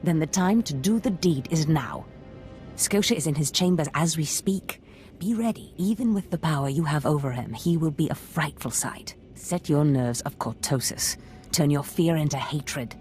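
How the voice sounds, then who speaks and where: A woman's voice: low voice, animated, moderate pace, a little mellow, soft background music. An actress playing a scheming, ambitious Sith lord and mentor in a video game, theatrical and silky, relishing her words. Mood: eager, intense. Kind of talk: video game drama acting.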